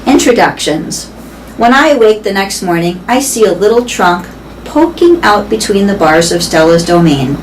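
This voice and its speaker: A woman's voice: medium pitch, expressive, moderate pace, recorded from a distance, low-quality recording. A woman reading a children's story aloud in a storytelling style. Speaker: reader of a children's novel. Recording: clear background noise.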